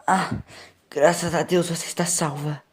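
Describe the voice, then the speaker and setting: A man voicing an astonished, emotional woman doctor in an audio drama, exclaiming in a high voice. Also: little pitch movement, measured pace, lightly acted. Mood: stunned, relieved.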